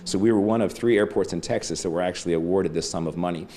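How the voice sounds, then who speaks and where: A man's voice: low-pitched, fast, warm tone. A man speaking as an airport official, giving explanatory answers in an interview.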